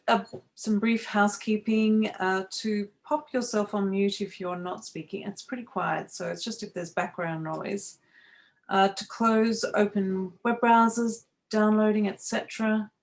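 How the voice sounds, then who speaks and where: A middle-aged woman hosting a live online webinar as a coach trainer, speaking over a video call in a welcoming way with frequent restarts. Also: medium pitch. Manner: welcoming, talks with many fillers and restarts.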